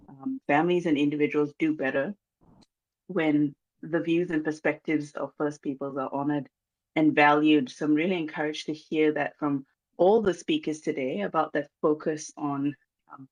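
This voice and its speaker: A speaker from Malaysia with a Malaysian accent, giving an earnest, measured address over a video call, pausing often with um. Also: mid-range voice, low-quality recording. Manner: earnest, measured, many um pauses. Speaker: guest speaker from a reconciliation organization. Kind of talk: formal address at a launch event.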